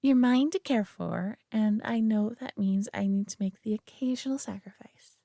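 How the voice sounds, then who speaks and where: A woman voicing a possessive, doting alien who coos over her pet human in an intimate roleplay audio drama, coddling and softly condescending. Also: mid-range voice, normal speed, creaky, no room sound, clean studio sound. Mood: soothing, possessive.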